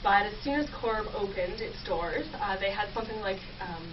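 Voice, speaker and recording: A woman's voice: medium pitch, lively intonation, normal speed, distant mic. Young woman guest on a radio interview explaining stuff casually, kinda muffled, lots of noise. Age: young adult. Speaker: guest researcher, history PhD student. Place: campus radio station. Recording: muffled, noisy, off-mic radio audio.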